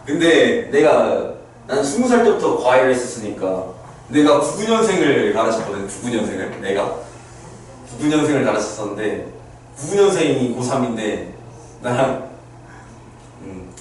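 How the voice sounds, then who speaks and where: A man around thirty teaching math to high school students in a classroom, talking them through each step and checking whether they understood. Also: sing-song, moderate pace, long pauses, slight background noise. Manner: talks students through steps, asking "understood?" after each point. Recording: very echoey classroom, mic far off.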